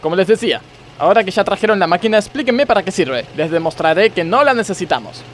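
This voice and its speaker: Actor as a high-voiced pompous Argentine boss man. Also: animated, quick pace, a little hiss or hum. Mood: pompous, stern. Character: blind factory boss, male, pompous and stern. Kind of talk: comedic radio theatre acting.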